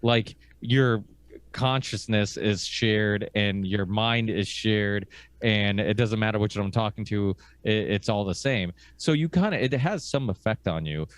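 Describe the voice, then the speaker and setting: A man born in Portugal, hosting a podcast and telling stories in a relaxed, rambling way. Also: lowish voice, measured pace, clear, resonant tone, no echo. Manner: rambling, relaxed storytelling with fillers. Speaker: podcast host. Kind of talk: casual conversation.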